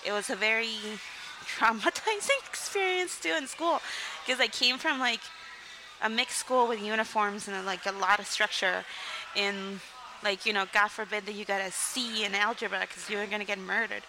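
Young woman from Mexico, interview guest, open and rambling, steady mid-range pitch, noisy recording. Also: moderate pace. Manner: candid, rambling, frequent "like" and "um", laughs between sentences. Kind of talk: casual interview storytelling.

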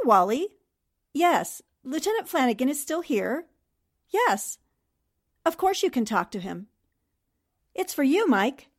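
A woman's voice: high-pitched, full character voice. A woman playing a polite, good-natured newspaperwoman with a genteel manner, in 1940s radio drama style. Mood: brisk, polite.

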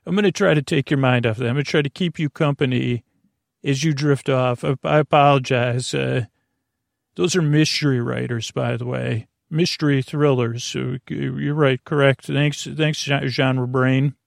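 Creaky-voiced man rambling soothingly. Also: mid-range voice, steady tone, a little slow, no echo, studio quality. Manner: meandering, hesitant, stammering with tangents. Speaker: host of a bedtime sleep podcast. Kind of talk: rambling, soothing bedtime monologue.

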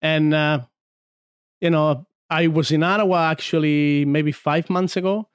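Smooth-voiced man from Argentina. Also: on the high side, unhurried, no room sound, studio quality. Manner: relaxed storytelling with many fillers and restarts. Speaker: podcast guest. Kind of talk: casual chat. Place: small podcast studio.

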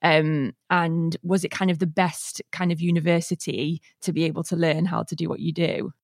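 A woman hosting a podcast speaks in an encouraging way and often sums up what her guest has said. Her voice sits a little low. The recording is dry and close. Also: little pitch movement, normal speed, dry recording. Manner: encouraging, summarizing. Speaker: podcast host. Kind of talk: casual interview.

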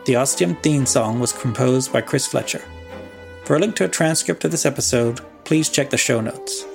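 Male podcast host, gravelly mid voice, scripted outro over faint music. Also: medium pitch. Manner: plain, even read promotional announcements. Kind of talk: scripted show outro and credits.

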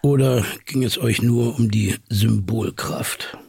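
A man playing a sceptical questioner of activists, husky and gravelly, probing but hesitant, in naturalistic audio drama. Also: medium pitch, fairly even intonation, slow, a little mellow, no room sound, clean studio sound, exaggerated voice.

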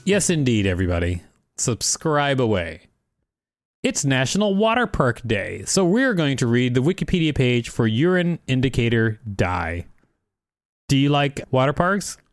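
A man co-hosting a podcast, chatting casually and reading an article aloud with wry, unhurried banter. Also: medium pitch, big pitch swings, no echo, faint music behind. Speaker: podcast co-host.

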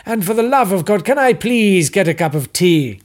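A squealing scared captive scientist, way up high, yelling and begging, wide swoops. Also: male voice, expressive, normal speed. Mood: panicked, pleading. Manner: high shrill shouting. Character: shrieking captive scientist, panicky and desperate.

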